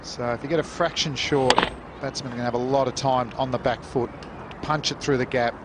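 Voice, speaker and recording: A male cricket commentator calmly explaining the pitch and bowling on live TV. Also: brisk. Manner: calm, technical analysis. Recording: heavy background noise, outdoor broadcast.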